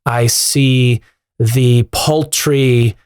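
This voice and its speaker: A man's voice: mid-range voice, fairly even intonation, extremely slow, a little mellow, smooth, no room sound, studio quality. A man who is a pastor on a podcast, thoughtfully working through a long, searching question. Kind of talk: podcast discussion.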